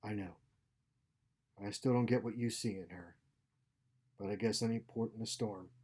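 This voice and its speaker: A man's voice: on the low side, fairly even intonation, moderate pace, a little mellow, breathy edge. A man voicing a bitter, wounded starship engineer, resentful and weary, in a story read aloud. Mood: sullen.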